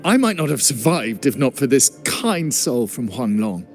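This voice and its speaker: A man's voice: medium pitch, big pitch swings, normal speed, darkish tone, faint music behind. An actor playing an earnest, devout male priest with a formal, solemn way of speaking, in a video game. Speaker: priest of the Order.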